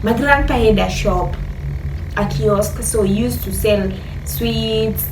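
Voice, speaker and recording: A woman with a Kenyan accent casually telling her story to camera, haltingly, searching for words and slipping into Swahili. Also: on the high side. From Kenya, rural Nandi Hills. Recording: echoey, distant mic, noisy background.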